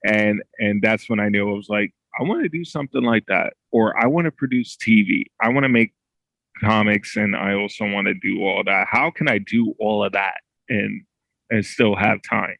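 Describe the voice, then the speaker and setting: A man guesting on a podcast, speaking haltingly with many restarts and filler words. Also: fairly low pitch, vocal fry, a bit bright, dry recording. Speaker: podcast guest, comic creator. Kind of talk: casual interview chat.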